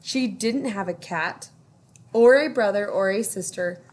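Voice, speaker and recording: A woman teacher reading a picture book aloud to children, gently stopping to ask them questions. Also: medium pitch. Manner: gentle, pauses to ask young listeners questions.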